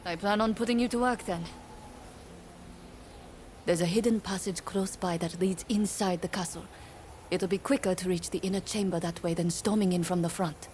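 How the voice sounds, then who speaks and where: An actress playing an assured, brisk woman ally in a video game cutscene, direct and businesslike as she gives instructions. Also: fairly low pitch, fairly even intonation, darkish tone, a little hiss or hum, lightly acted. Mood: assured, urgent.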